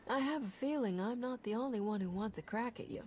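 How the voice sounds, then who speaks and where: An actress playing a resolute female soldier hero in a video game, speaking firmly and calmly. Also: on the high side, quick pace, slight background noise, close to an everyday voice. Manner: firm and calm.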